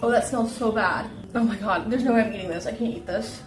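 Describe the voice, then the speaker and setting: A woman's voice: mid-range voice, fast talker, over music, low-quality recording. A young woman streaming from her home kitchen, chatting casually and reacting dramatically while she cooks. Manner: dramatic, squeamish, exclaiming. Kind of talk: casual livestream chat while cooking.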